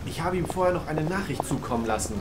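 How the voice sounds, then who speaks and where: Man playing a decisive team leader, higher-pitched. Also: lively intonation, quick pace, very noisy, natural voice. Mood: earnest. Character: decisive male podcast host leading a rescue. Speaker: podcast host and team leader. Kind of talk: amateur audio drama acting.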